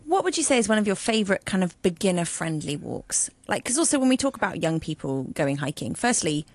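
A woman's voice: lowish voice, big pitch swings, a little fast, a little mellow, no echo. Chatty woman hosting an interview. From Hong Kong. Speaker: radio interview host.

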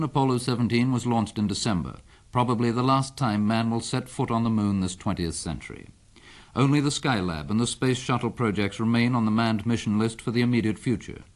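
Male radio newsreader, lower register, scripted narration for a 1970s year-in-review. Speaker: veteran radio news presenter.

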